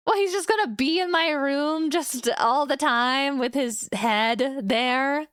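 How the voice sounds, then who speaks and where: Chatty high-voiced young woman streamer. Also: slow pace, no echo, clean studio sound. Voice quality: relaxed, chatty, giggly. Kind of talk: casual chat with stream viewers. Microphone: studio microphone.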